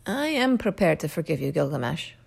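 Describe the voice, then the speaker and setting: A woman's voice: low voice, big pitch swings, fairly quick, creaky, husky, exaggerated voice. A woman reading aloud, voicing a haughty, commanding goddess who speaks in a grand, declaiming way. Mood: coaxing.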